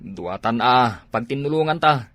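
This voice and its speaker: A man's voice: mid-range voice, lively intonation, unhurried, a bit bright, dry recording. A man plays an Ilocano village drinking buddy who nags and frets but is idle himself. His voice moves up and down freely in amateur comedy drama style. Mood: nagging. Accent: Filipino, Ilocano.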